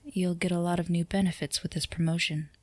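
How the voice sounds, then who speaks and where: A woman playing a flirtatious, composed CEO boss in a scripted ASMR roleplay, speaking to the listener in an unhurried, teasing, formal way. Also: fairly low pitch, little pitch movement, a little mellow, no echo, close to an everyday voice. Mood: composed, persuasive.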